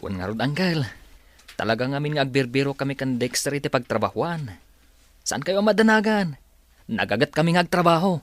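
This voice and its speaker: An actor playing an earnest, hardworking young farm worker in a radio drama. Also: male voice, mid-range voice, expressive, a little fast, dry recording, lightly acted. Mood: grateful, earnest.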